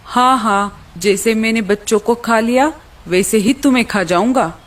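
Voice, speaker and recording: A woman voices a predatory hawk from a kids' cartoon. He is hungry, possessive and gloating, with a fairly high voice. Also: moderate pace, natural voice. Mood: menacing. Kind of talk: children's cartoon story dialogue.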